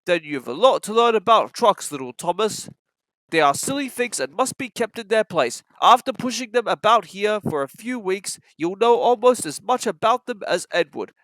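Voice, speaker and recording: A reader as a stern, bright-voiced railway director. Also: medium pitch, expressive, normal speed, dry recording, pitched above the performer's own voice, lightly acted, poor audio. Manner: stern, instructive.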